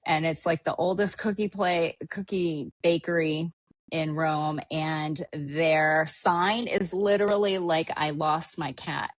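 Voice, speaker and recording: Podcast host, woman from Hawaii, lowish voice, dry close sound, casual rambling chatter with fillers. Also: steady tone, slow pace, dry recording. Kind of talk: casual travel recap chat.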